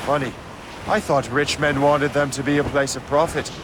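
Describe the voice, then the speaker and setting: A man's voice: medium pitch, fairly even intonation, moderate pace, close to an everyday voice. Man playing a wry sea captain amid wind. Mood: wry, guarded. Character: wry, sceptical young male sea captain. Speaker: ship's captain. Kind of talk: video game voice acting. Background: ship, waves and wind.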